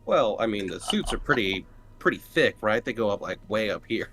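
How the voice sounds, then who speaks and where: A man talks as a player in a tabletop roleplaying game. His voice sits a little low and his delivery is casual game chat. There is light music in the background. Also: animated, fairly quick, a bit bright. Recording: music playing behind the voice.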